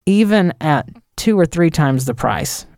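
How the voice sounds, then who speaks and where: A man's voice: fairly high pitch, animated, a little slow, vocal fry, darkish tone, no echo. Dry-sounding man talking casually. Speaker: podcast panelist. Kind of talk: casual gear talk.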